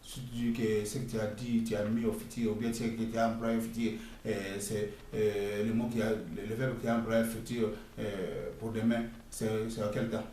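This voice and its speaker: A middle-aged man from the Congo with a Congolese French accent, talking in an emphatic, halting, scolding way for his video blog. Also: fairly low pitch, flat delivery, warm tone, slight background noise. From Democratic Republic of the Congo. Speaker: vlogger. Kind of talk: casual spoken commentary. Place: outdoors near a construction site. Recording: echoey and distant with faint ambience.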